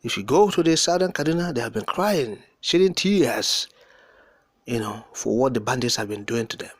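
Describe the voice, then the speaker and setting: A man's voice: mid-range voice, animated, normal speed, husky, no echo. A man with a Nigerian accent giving impassioned, unscripted political commentary in an emphatic, oratorical way, repeating and piling up words for emphasis.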